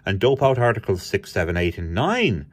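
A man's voice: on the low side, very animated, normal speed. A man voicing a fussy, genial male cartoon police dog with a proper, persuasive manner. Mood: coaxing.

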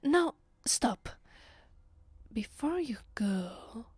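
A woman with an Italian accent playing a haughty, centuries-old vampire mistress in an ASMR roleplay, breathy and often near a whisper, imperious and dramatic. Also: a little high, sing-song, very slow, darkish tone, no echo, exaggerated voice. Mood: agitated, commanding. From Italy.

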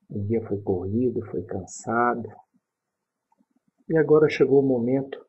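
Slow, husky Brazilian man guiding meditation. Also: medium pitch. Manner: calm, unhurried, pausing between short phrases. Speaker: guided meditation narrator. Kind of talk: guided sleep meditation, soothing instruction. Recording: dull, muffled lo-fi recording.

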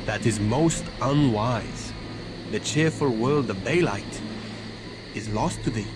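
An actor playing a grave, stern medieval vampire warrior and mentor, speaking in solemn archaic phrases for a video game. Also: male voice, medium pitch, slow pace, noisy background, lightly acted. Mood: solemn counsel. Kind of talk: theatrical video game acting.

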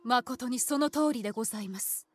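High-voiced, dignified samurai-era noblewoman played by an actress. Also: fast, natural voice. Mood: grave, resolute. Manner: formal, archaic, courtly speech. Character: composed, dignified samurai-era village lady and leader. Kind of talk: formal period-drama game voice acting.

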